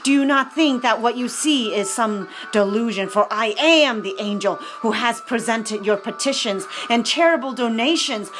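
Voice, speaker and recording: A woman's voice: fairly high pitch, moderate pace, few pauses, fairly bright tone, close to an everyday voice. A woman voicing a solemn angel announcing divine news, firm and declaiming, with music behind.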